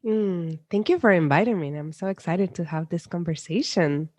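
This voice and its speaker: Puerto Rican woman, low dry voice. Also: moderate pace, no room sound. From Puerto Rico. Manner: warm storytelling with many fillers and restarts. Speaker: psychologist guest. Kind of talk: casual interview conversation.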